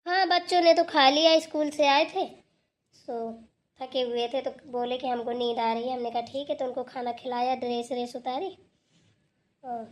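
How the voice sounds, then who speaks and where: A woman voicing a dutiful, calm elder daughter-in-law in a village family cartoon, matter-of-fact and respectful. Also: sits high, moderate pace, lightly acted.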